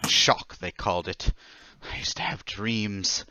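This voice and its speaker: An actor playing a troubled, desperate man confessing to murders, halting and pleading in old time radio drama style. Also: fairly low pitch, steady tone, bright, forceful tone, clear, resonant tone, no room sound, exaggerated voice. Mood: brooding, unsettled. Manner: halting, agitated, stammering, pleading.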